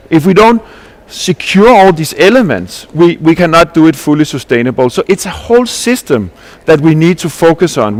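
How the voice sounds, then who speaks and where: A man from Denmark, giving an earnest conference presentation as a landscape architect, explaining ideas with restarts and rhetorical questions. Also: fairly high pitch.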